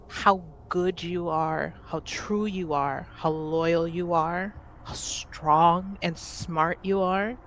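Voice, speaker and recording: A woman roleplaying in a tabletop game, speaking earnestly and deliberately, with narrated asides. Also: lowish voice, a little hiss or hum, low-quality recording.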